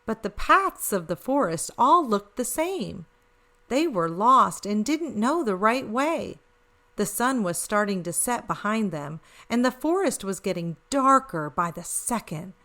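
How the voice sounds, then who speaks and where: A woman reading a story aloud for children as host, expressive and speaking directly to young listeners. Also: mid-range voice, a little slow.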